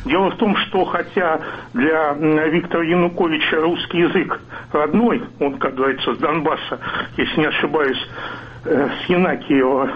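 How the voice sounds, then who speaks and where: A man's voice: a little high, steady tone, moderate pace, highs cut off, a little hiss or hum. A man from Moscow calling in to a radio show, ceremonious and hesitant with many ums. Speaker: caller. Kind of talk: radio call-in.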